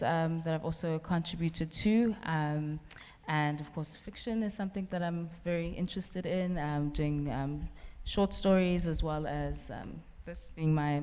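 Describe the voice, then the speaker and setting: A woman's voice: low voice, dark tone. A woman novelist from South Africa, chatting on a festival panel with frequent "um"s and laughter, then reading from her book. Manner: chatty, laughs often, many "um" fillers. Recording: reverberant festival hall.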